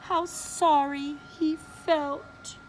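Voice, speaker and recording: A preschool teacher reading a picture book aloud to young children, slowly and expressively, pointing out the pictures. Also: female voice, high-pitched, a little hiss or hum, poor audio. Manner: slow, expressive read-aloud, showing pictures.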